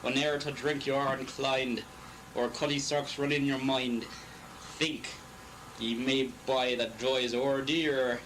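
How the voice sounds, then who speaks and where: A man's voice: medium pitch, fairly even intonation, a little slow, a bit bright. A man giving a theatrical, declamatory dramatic reading of poetry. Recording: clearly noisy recording.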